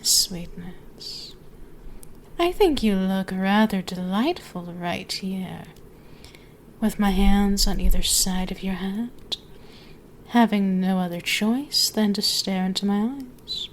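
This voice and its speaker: A woman plays an ancient dragoness in an intimate ASMR roleplay. She speaks slowly, teasing and dominant, often sinking toward a whisper. A clear layer of background ambience sits behind the voice. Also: mid-range voice, slight background noise. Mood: sultry, dominant. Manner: slow, teasing, drawn-out, often dropping to whispers.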